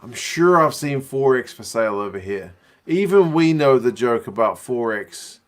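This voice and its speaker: Young kiwi bloke, accent a bit mixed from living overseas, chill slangy stream chat. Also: male voice, medium pitch, moderate pace. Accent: New Zealand, mixed from years abroad. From New Zealand. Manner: relaxed, chatty, slangy. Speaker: rugby watch-along streamer. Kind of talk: casual live-stream chat reading viewer messages.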